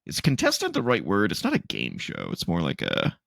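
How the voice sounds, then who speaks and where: A man co-hosting a casual podcast, stuffy and sniffly from allergies, loose and laughing, swooping high for emphasis. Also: wildly swooping pitch, fairly quick, gravelly, a bit bright, no echo, studio quality. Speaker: podcast co-host. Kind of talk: casual chat.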